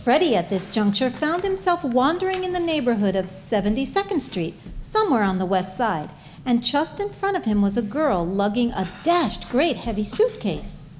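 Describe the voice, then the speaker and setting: A woman reads a comic story as narrator in a slightly high voice. She is very animated in her intonation, with faint ambient noise around her. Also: normal speed.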